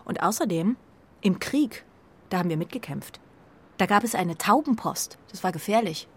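A woman's voice: medium pitch, expressive, moderate pace. A woman playing an earnest, anxious carrier pigeon, proud of its breed, in a children's radio play. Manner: earnest, emotional, swings from breathy hush to cries.

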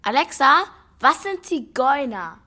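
Slow, unsure young girl. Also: high voice, sing-song, bright, forceful tone, exaggerated voice. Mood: hesitant, curious. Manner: hesitant, subdued. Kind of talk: children's radio play dialogue.

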